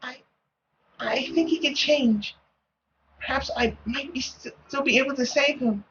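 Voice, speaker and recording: A man voicing a timid, gentle young woman, a devoted daughter, soft and halting. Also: very high voice, highs cut off, background noise, pitched above the performer's own voice. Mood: hesitant.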